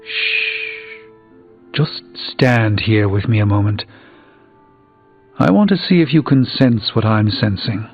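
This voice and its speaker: Proud male sorcerer, formal, measured, wistful, theatrical game dialogue, muffled audio, music behind. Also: lowish voice, expressive, slightly rough, full character voice. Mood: hushed, ominous. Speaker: video game voice actor. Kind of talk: dramatic video game dialogue.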